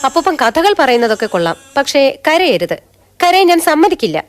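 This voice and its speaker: A woman's voice: sits high, very animated, brisk. A woman playing a caring young granddaughter. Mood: gently firm. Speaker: radio drama actor. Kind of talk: radio drama acting.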